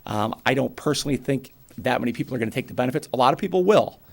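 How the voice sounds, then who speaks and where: A man in his forties with a New England accent, giving a brisk, plainspoken financial presentation to a town board and explaining with everyday examples. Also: medium pitch, lively intonation, gravelly. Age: 40s. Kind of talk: financial presentation at a public meeting.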